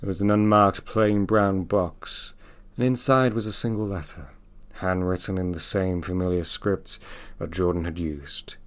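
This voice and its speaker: A man's voice: sits low, steady tone, unhurried. A man narrating an audio drama as a solemn storyteller, with scripted delivery. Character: solemn storyteller of a bereavement tale.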